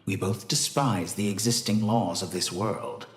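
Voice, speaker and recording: An actor playing an arrogant, calm mad scientist villain in a video game, speaking in a pompous, deliberate, theatrical way. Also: male voice, on the low side, reverberant, close to an everyday voice. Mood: silky, amused.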